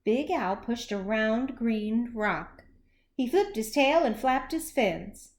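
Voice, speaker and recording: A woman with a high voice reads a picture book to young children. Her delivery is very slow and deliberate, and her melody swings widely as she tells the story expressively. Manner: animated, slow, deliberate, with questions to young listeners.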